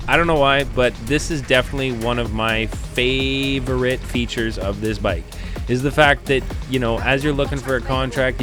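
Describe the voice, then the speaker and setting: A man with a nasal voice from a head cold, chatting enthusiastically as he narrates and reviews his gameplay footage, with game music and gunfire behind him. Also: medium pitch. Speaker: gaming channel host.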